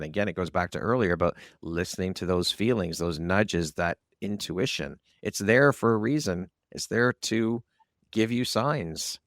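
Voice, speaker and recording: Guy podcast host chatting with a guest, pretty deep voice, very dry sound. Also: dry recording. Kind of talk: conversational interviewing.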